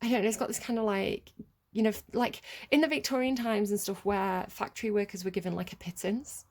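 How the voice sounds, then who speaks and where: A woman's voice: mid-range voice, steady tone, brisk. A British woman giving a casual tarot reading, chatty and rambling, laughing often. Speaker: tarot reader.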